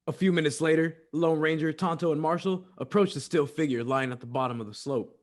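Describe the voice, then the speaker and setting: A man's voice: on the high side, fairly quick, lightly acted. A man narrating an old-time western radio drama in a dramatic, scripted storytelling style.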